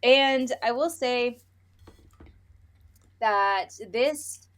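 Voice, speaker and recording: A woman's voice: fairly high pitch, drawn-out words, no echo. A woman co-hosting a podcast, chatting casually about books in a playful, informal, gushing way. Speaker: podcast co-host. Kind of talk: casual book review chat.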